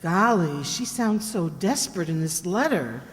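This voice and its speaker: A woman playing a private detective's sympathetic assistant in a radio drama, colloquial, expressive and solicitous. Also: fairly low pitch, a little slow. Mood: curious, concerned. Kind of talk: old-time radio drama acting. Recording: roomy hall with long reverb.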